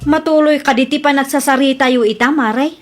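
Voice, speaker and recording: A woman's voice: high-pitched, brisk, faint music behind, close to an everyday voice. A woman with an Ilocano accent playing a gossipy, opinionated neighbour who chides and advises in a comedy radio drama.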